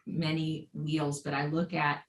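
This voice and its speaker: Low-voiced woman explaining in an echoey room. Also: little pitch movement, unhurried. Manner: enthusiastic, explanatory, playful phrases. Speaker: integrative health guest. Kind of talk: podcast interview. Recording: roomy echo, faint background noise.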